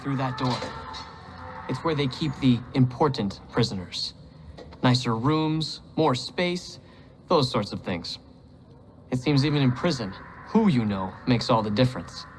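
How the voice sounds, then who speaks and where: An actor playing a wry, conspiratorial prisoner and forger in a dramatic video game cutscene. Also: male voice, mid-range voice, expressive, a little slow, natural voice, rough recording. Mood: explaining. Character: scheming, wry male prisoner, a forger plotting escape. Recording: clear background noise and ambience.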